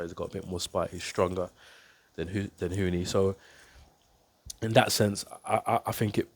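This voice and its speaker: Deep, flat-voiced man with a stuffy cold. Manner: measured, thinking aloud with fillers and restarts. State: congested with a cold. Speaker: boxing coach and YouTuber. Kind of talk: casual fight breakdown to camera.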